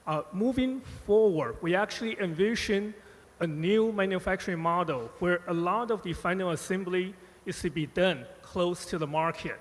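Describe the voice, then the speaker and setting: A man's voice: high voice, moderate pace. A man speaking as a panelist, stumbling through answers with many filler phrases. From born Taiwan, raised United States. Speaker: panelist, company strategist. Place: conference hall stage. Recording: conference hall reverb.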